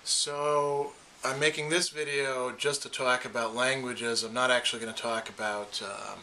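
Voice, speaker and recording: Man, Upper Midwest American accent, bright tense tone, thoughtful restarts, faint hiss. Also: mid-range voice, little pitch movement, moderate pace. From Wisconsin, USA. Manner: thoughtful, repeats words as he restarts. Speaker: philosophy lecturer. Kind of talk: casual personal talk to camera.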